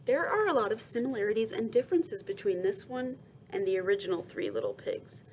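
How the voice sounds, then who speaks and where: A woman reading a children's storybook aloud in an expressive, dramatic storytelling manner. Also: on the high side, a little fast, a little hiss or hum. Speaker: storybook reader.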